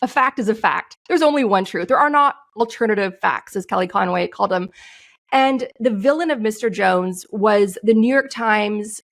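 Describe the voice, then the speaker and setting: A woman's voice: mid-range voice, normal speed, creaky. A woman guest on a podcast, telling a story in a passionate, outspoken way. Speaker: guest, screenwriter. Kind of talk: interview answers.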